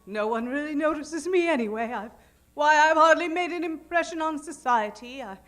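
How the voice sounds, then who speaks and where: A woman playing a timid, self-effacing wallflower who rambles haltingly, in improvised old-time radio comedy. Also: high voice, lively intonation, fairly bright tone, soft background music. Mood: meek. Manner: meek, halting.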